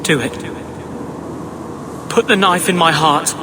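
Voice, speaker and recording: Casting: a haunted young mage, high-pitched, fervent, pleading, dramatic game acting. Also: male voice, slow pace, background noise. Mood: grim, resigned. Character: anguished young elven-blooded mage haunted by demons.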